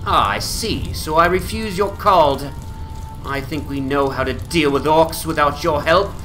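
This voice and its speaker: A man's voice: on the high side, unhurried, sharp tone, faint music behind, poor audio. A man playing a proud, defiant town mayor in a fantasy game dramatization, with formal, curt speech. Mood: dismissive.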